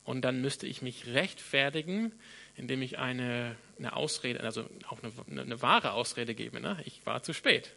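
A man from Australia preaches in German, his first language English still clear in the accent. His voice has a bright, gravelly edge. He thinks aloud as he goes, doubling back on words and restarting sentences. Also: mid-range voice, moderate pace. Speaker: pastor. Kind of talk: sermon.

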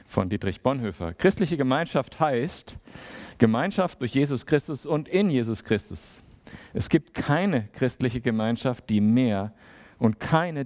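Male preacher, casual and talky, mid-pitched, plays out little scenes. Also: darkish tone. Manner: conversational, acts out small dialogues. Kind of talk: sermon.

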